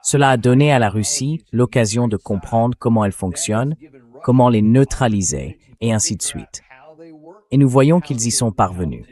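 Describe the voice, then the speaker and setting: A man hosting a political interview in a measured, articulate way, read by a synthetic translation voice. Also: medium pitch, lively intonation, a little mellow. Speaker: interview host.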